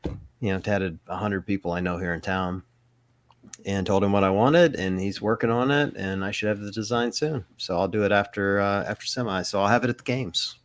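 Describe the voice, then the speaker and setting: A man's voice: on the low side, normal speed. A middle-aged man from Mississippi chatting casually and rambling a bit as co-host of a live Q&A. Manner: rambling, casual, swears freely.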